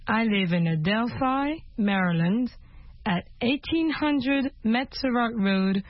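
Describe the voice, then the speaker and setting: A woman gives a scripted language lesson with very slow, deliberate delivery. Her voice sits a little high. The recording is dull, with guitar music prominent behind her. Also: animated, dry recording. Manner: instructional, deliberate, repeats questions. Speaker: language teacher. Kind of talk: slow scripted English lesson for French speakers. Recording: muffled lo-fi audio, loud guitar music.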